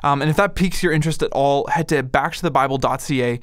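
A man's voice: medium pitch, quick pace, fairly bright tone, dry recording, clean studio sound. A man hosting a podcast, introducing the next talk and making a casual, upbeat donation appeal. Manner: casual, upbeat, filler-heavy. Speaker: podcast host.

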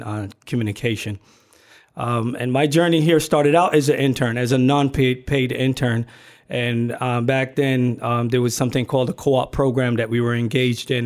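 A middle-aged man from Barbados, talking on a podcast in a relaxed, hesitant way with many fillers and restarts. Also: mid-range voice. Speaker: agency communications staffer, podcast guest. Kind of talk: casual podcast chat.

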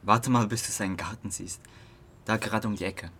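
A man's voice: on the low side, steady tone, brisk, sharp tone, breathy edge, full character voice. A young man playing a curious, easygoing teenage boy in an amateur radio drama, talking casually in youth slang with some hesitancy. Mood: chatty, admiring. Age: young adult. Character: curious, easygoing teenage boy trying partying for the first time.

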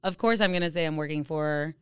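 A woman's voice: sits low, fast, smooth, no room sound, studio quality. A woman talking casually as a guest on a podcast. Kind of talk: casual chat.

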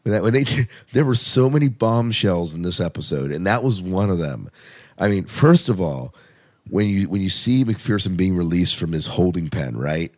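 A man's voice: low voice, animated, moderate pace. A man hosting a podcast in casual discussion, reacting openly and putting leading questions to his co-host.

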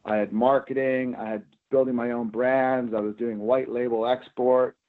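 A man's voice: mid-range voice, flat delivery, normal speed. A middle-aged man, an executive answering interview questions conversationally. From Canada, Alberta prairies. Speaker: interview guest, company executive. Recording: narrow, tinny phone-line sound.

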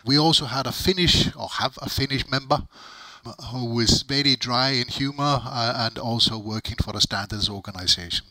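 Bright, forceful middle-aged man being interviewed. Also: mid-range voice, moderate pace, dry recording, rough recording. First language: not English. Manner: emphatic, wordy, repeats phrases for emphasis. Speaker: interviewee, technical documentation expert. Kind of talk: conversational interview answers.

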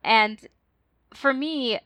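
A woman's voice: sits high, extremely slow, fairly bright tone, dry recording. A woman from the United States, a podcast guest, talking casually and laughing easily. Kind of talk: casual conversation.

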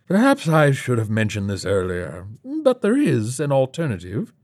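A male narrator plays a smooth villain from an audio story, master of a hidden bunker. He speaks slowly and deliberately, pitched somewhat above the reader's own voice, with theatrical menace. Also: wildly swooping pitch, darkish tone. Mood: smugly calm.

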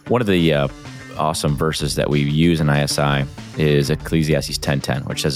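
Very deep-voiced earnest podcast host man. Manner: earnest, with frequent fillers and restarts. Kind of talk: faith podcast intro and interview.

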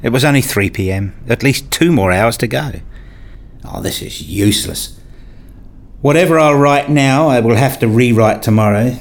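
A man playing an earnest, pedantic husband and father who narrates his day in a radio play, with a deliberate, fussy manner. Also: medium pitch, natural voice. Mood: weary. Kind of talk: radio play dialogue and first-person narration.

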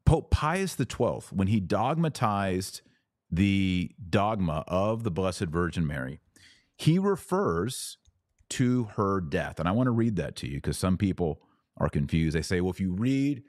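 A man's voice: low-pitched, very animated, a little slow, a little mellow, no echo, clean studio sound. A man speaking as a podcast host, teaching about religion conversationally and answering questions in a didactic, emphatic way.

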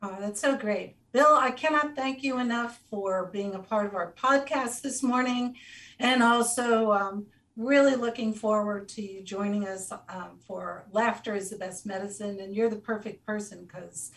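A woman's voice: medium pitch, moderate pace, fairly bright tone, far from the mic, poor audio. A woman from Cincinnati hosting a podcast, reacting with enthusiasm and thanking her guest. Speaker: podcast host. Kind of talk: casual interview.

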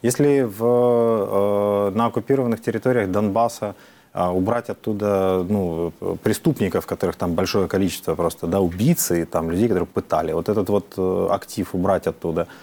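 A man's voice: deep voice, fairly even intonation, normal speed, mellow. A man from Ukraine, a member of parliament answering interview questions in an earnest, explanatory way, with frequent hesitations.

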